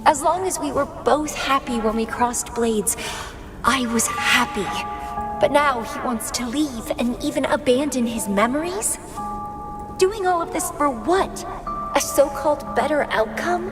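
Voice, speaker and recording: A woman's voice: high-pitched, unhurried. A woman playing an obsessive, playful yet melancholy young fighter in a video game, with a theatrical, languid, musing delivery. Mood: wistful, resentful. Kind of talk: video game character acting. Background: background music.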